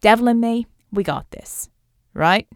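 A woman voicing a cheerful, cocky male flying operative, warm and teasing, full of breezy banter, in an audiobook adventure. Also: on the high side, measured pace, clear, resonant tone, no room sound, clean studio sound, close to an everyday voice. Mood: confident.

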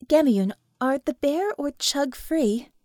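A woman voicing a brisk, friendly young female technomage in an audiobook, peppering her orders with Russian words. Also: sits high, lively intonation, darkish tone, no room sound, studio quality. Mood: brisk, commanding.

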